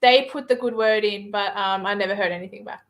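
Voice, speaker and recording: A woman's voice: medium pitch, brisk. Hesitant Australian woman chatting on a livestream. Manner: hesitant, many filler words, answers viewer comments. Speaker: livestream guest reading viewer chat. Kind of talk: casual livestream chat.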